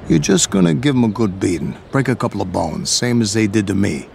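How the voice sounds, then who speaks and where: Gravelly scheming inmate. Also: male voice, lowish voice, animated, fairly quick, darkish tone, slight background noise. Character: battered male prison inmate, scheming and eager. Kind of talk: video game cutscene acting.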